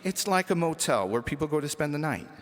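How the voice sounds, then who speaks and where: Guy doing a pushy loud little girl from a storybook, high voice, echoey. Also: lively intonation, a little fast, lightly acted. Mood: bossy. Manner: loud, demanding outbursts. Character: brash, bossy, blunt young girl from a rowdy family. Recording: echoey hall reverb with music.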